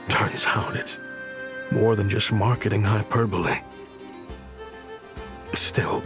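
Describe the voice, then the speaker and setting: A man playing a weary, remorseful protector of children in a video game, speaking in a halting, earnest way. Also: lowish voice. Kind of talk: dramatic video game voice acting.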